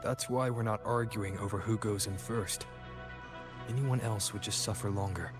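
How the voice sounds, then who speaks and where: A man's voice: on the low side, normal speed, lightly acted. A man playing a resolute, self-sacrificing fighter in a video game, with a serious, restrained delivery. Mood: resigned resolve. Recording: game music behind the voice.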